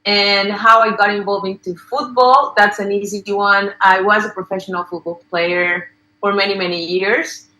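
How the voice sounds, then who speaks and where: Bright woman in a roomy space. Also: medium pitch, steady tone, normal speed. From Colombia. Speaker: panelist, former professional footballer. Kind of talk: personal story told on a panel.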